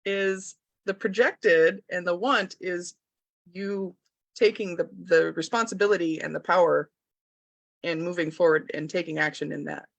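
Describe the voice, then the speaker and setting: A woman's voice: lowish voice, a little slow, creaky, dry recording. Reflective woman in a dry, close sound. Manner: reflective, thinking aloud. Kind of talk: casual conversation.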